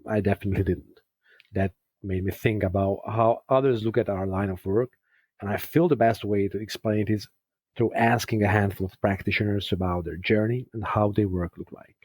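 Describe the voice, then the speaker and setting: Low, husky man in a dry recording. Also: steady tone, moderate pace, darkish tone, no echo. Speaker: host. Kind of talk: scripted show introduction.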